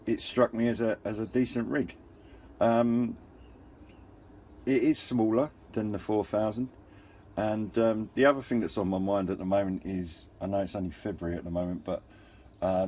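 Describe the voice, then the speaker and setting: Low, mellow-voiced man reviewing gear casually. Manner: hesitant, rambling, understated. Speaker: hobbyist radio reviewer and channel host. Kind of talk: casual unscripted product review. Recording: faint background noise.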